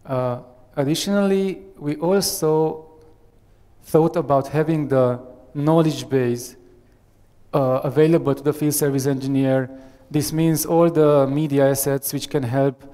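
A man in his thirties with a Romanian accent gives a live software demo on a headset mic, hesitant and full of fillers. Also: a little high, fairly even intonation, measured pace, clean tone. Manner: hesitant, many fillers and restarts. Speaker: software architect giving a demo. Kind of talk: live tech demo presentation. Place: large conference room with audience. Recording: long reverb of a large hall.

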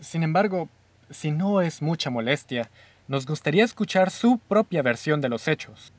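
A man voicing a reserved, sharp detective who speaks politely and formally. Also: fairly high pitch, expressive, normal speed, no echo. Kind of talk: amateur radio drama acting.

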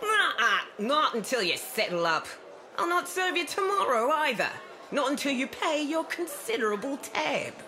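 Bright-voiced, stern tavern keeper. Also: female voice, high voice, sing-song, exaggerated voice. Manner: brisk, scolding. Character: firm, no-nonsense female tavern keeper. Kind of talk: audio drama acting.

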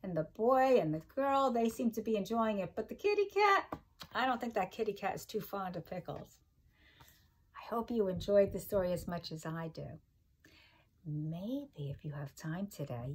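A middle-aged woman reading a picture book aloud to young children like a teacher, with expressive, sing-song delivery and chatty asides. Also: mid-range voice, moderate pace, a little hiss or hum. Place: kitchen-like room at home, indoors.

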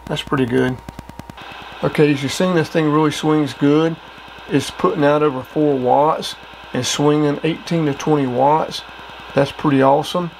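A man's voice: fairly high pitch, fairly even intonation, normal speed, poor audio. A man giving a casual demonstration of gear as a hobbyist. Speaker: radio hobbyist reviewing gear. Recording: clear background noise.

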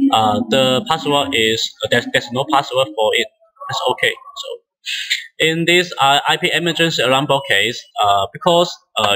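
A man who speaks English as a non-native language, giving a step-by-step software tutorial in a halting, hesitant way with frequent restarts. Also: mid-range voice, poor audio. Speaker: instructor demonstrating software.

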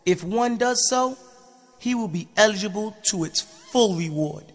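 A man's voice: high-pitched, lively intonation, a little slow. A man narrating scripted religious commentary in an explanatory style. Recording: long hall-like reverb.

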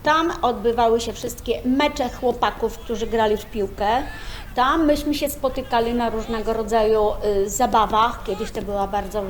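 A woman's voice: fairly high pitch, moderate pace, slight background noise. A woman over sixty from rural Podlasie in Poland, describing memories in orderly conversational narration. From Jabłoń Kościelna, Podlasie, Poland. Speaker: senior sharing memories. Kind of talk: descriptive reminiscence.

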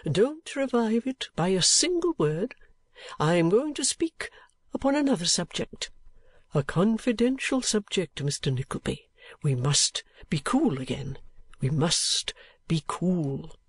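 A man voicing a kindly, excitable, sentimental old gentleman in a storybook reading, with an emphatic, halting, drawn-out delivery. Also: high voice, husky, dry recording, voice pushed up, exaggerated voice. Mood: earnest, confidential. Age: older.

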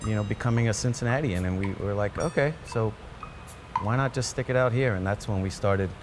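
A man, a chef giving a documentary interview in a restaurant dining room, speaking in a reflective, halting way with frequent restarts. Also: on the low side, noisy background. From born in Colombia, raised in Queens, New York. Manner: reflective, halting, many restarts and "you know" fillers. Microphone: off-camera interview mic, seated close framing. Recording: music bed under the voice.

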